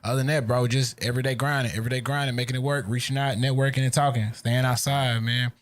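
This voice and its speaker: A man hosting a casual podcast chat, talking in a relaxed way with slang and many fillers. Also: medium pitch, quick pace. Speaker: podcast host.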